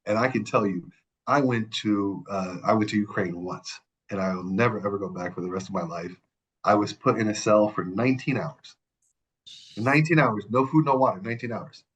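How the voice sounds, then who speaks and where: A man's voice: fairly low pitch, a little fast, lo-fi sound. A man from the Middle East, a podcast guest, telling personal stories in casual conversation, stressing points by repeating words and often restarting his sentences. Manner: frequent restarts and self-corrections.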